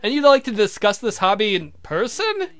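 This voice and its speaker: A man's voice: high-pitched, big pitch swings, moderate pace, fairly bright tone. A man voicing a courtly, exasperated vampire count with dry wit and theatrical formality in a visual novel.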